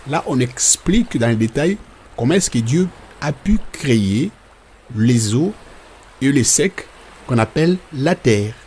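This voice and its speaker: A man's voice: mid-range voice, lively intonation, measured pace, darkish tone, slight background noise, lightly acted. A man playing a patient cartoon father who explains God and nature to children in a reassuring, teacherly way. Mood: explaining. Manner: didactic, reassuring.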